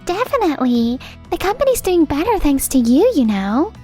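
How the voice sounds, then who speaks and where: A woman's voice: high-pitched, big pitch swings, normal speed, mellow. A woman playing a friendly, anxious young female office coworker in a manga dub, with a girlish, eager delivery. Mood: encouraging. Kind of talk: anime-style manga dub acting. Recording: background music under the voice. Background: music.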